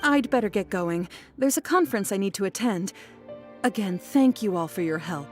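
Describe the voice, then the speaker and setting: A woman's voice: mid-range voice, expressive, normal speed, darkish tone, soft background music, lightly acted. A woman playing a polite, earnest young female scholar in a video game, with an apologetic air. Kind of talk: video game character acting.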